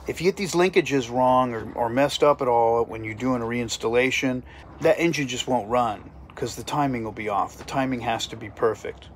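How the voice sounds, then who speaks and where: A man's voice: medium pitch, moderate pace, a little hiss or hum. Middle-aged man vlogging from his boat. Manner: explains step by step, chatty. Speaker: sailing vlogger. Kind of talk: casual vlog narration. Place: inside a small boat cabin. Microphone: phone held at arm's length.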